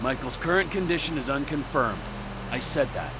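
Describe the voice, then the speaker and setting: A man's voice: mid-range voice, very animated, moderate pace, natural voice. An actor playing a volatile, menacing middle-aged criminal trucker in a video game, sardonic and profane, drawing out his words. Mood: bitter. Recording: vehicle noise behind the voice.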